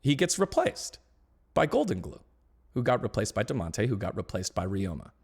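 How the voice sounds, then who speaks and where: A man's voice: fairly low pitch, big pitch swings, normal speed, slightly rough. A man talking casually on a live stream about esports, ranting with growing indignation.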